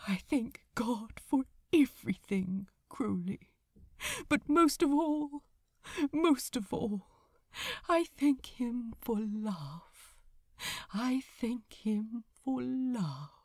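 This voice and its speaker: A woman voicing an earnest, tearful male angel confessing love in a halting, fervent way, as in an audiobook. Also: on the high side, expressive, a little mellow, no echo, studio quality, exaggerated voice. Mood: tearful, grateful. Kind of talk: emotional audiobook character dialogue.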